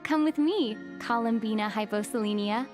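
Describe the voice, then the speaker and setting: A woman playing a devoted, gentle young traveler in an anime game, cheerful and encouraging. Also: fairly high pitch, moderate pace, close to an everyday voice. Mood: tender. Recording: faint music behind the voice.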